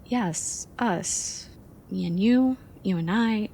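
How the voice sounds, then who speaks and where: A young woman playing an affectionate, teasing friend in an intimate ASMR roleplay, speaking softly and expressively. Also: medium pitch, slow, vocal fry, slight background noise. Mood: tender, nervous. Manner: soft, playful, expressive.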